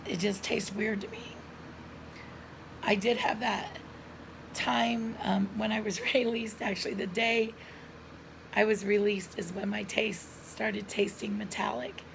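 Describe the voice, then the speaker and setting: A middle-aged woman with a hoarse voice and frequent coughs, telling viewers a personal story in an earnest, chatty way, recorded at home on a camera mic. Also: medium pitch, fairly even intonation, measured pace, background noise. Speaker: lifestyle vlogger.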